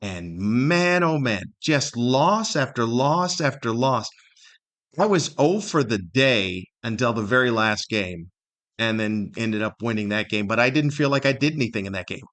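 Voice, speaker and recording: Middle aged guy doing a solo podcast, normal pitch, chatty and rambling, wry jokes at his own expense. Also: fairly bright tone. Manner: rambling, wry storytelling with self-deprecating humor. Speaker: podcast host. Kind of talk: casual solo podcast monologue.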